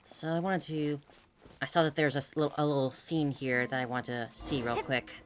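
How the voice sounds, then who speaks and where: A woman's voice: extremely low pitch, steady tone, brisk, noisy background. A woman game streamer giving casual playthrough commentary, musing and humming to herself. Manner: musing, hums to self. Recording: game music behind.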